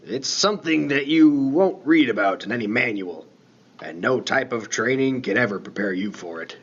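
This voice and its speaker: A man playing a laconic, folksy lighthouse keeper in a radio play, talking in a plain, unhurried, colloquial way. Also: medium pitch, expressive, slightly rough, muffled recording. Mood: calm, mysterious. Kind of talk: 1950s-set radio play dialogue.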